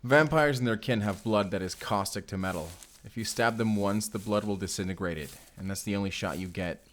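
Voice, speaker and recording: Man playing a low-voiced, guarded vampire hunter. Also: a little fast, a bit bright, a little hiss or hum, close to an everyday voice. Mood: wary, instructive. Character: weary young male vampire hunter, guarded, self-doubting guide. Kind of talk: cinematic audio roleplay acting, intimate.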